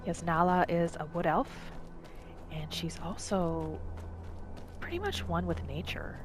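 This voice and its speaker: A woman narrates a relaxing gameplay session very slowly in a gentle, soothing voice. Her pitch sits slightly low, and loud game music plays under her. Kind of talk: soft relaxing roleplay narration.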